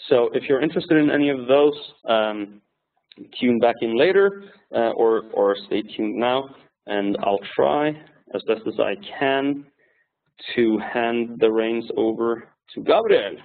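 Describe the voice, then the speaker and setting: Gravelly man hosting a webinar. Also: mid-range voice. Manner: halting, many ums and uhs. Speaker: webinar host. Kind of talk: webinar introduction. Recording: tinny phone-like audio.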